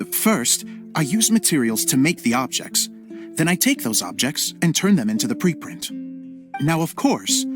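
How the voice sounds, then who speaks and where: An actor playing an earnest, humble, slightly flustered young male theater director in a video game, speaking expressively with some hesitation. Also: mid-range voice. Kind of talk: animated video game acting.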